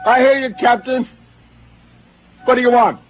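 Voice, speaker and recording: An actor playing a bitter, disillusioned astronaut who has turned rebel, speaking in an intense, brooding and defiant way in a 1950s radio drama. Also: male voice, extremely high pitch, steady tone, normal speed. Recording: hissy, lo-fi 1950s radio broadcast.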